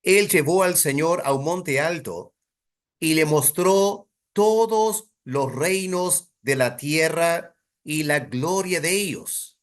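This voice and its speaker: A man teaching a Bible study in a deliberate, emphatic sermon style, putting rhetorical weight on his phrases. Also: on the high side.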